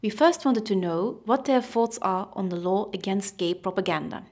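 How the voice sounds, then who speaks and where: A woman with a mid-pitched voice reads documentary narration from a script. Her delivery is even and measured. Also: noisy background. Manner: even, read-aloud delivery.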